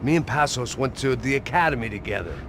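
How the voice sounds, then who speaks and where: An actor playing a bitter, middle-aged ex-cop in a video game, talking in a gruff, weary way. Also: male voice, medium pitch, animated, fast, background noise, close to an everyday voice. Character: weary hard-drinking ex-cop bodyguard, middle-aged man. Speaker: game protagonist.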